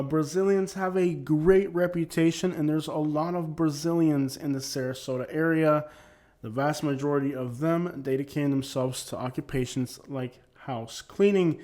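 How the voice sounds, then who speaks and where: A man's voice: on the high side, a little mellow. A man hosting an opinion channel, delivering blunt, emphatic commentary that keeps repeating its points. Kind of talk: opinionated commentary on arrest records.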